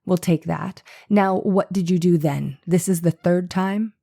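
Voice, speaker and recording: A woman plays a defense attorney in a courtroom drama, with a low, warm, dark-toned voice. The questioning is insistent and pointed, edged with dry sarcasm, as the lawyer keeps pressing a witness for answers. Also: measured pace, creaky. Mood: probing. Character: persistent, pointed male defense attorney cross-examining a witness. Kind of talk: dramatized reading of historical trial transcript.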